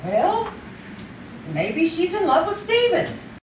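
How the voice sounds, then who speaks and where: A woman's voice: very high voice, very animated, slow, a little hiss or hum, heavily acted. An actress playing a stubborn, intuitive female sidekick in an old-time radio drama, with an insistent, knowing manner. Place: community theater stage. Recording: roomy, distant live stage recording.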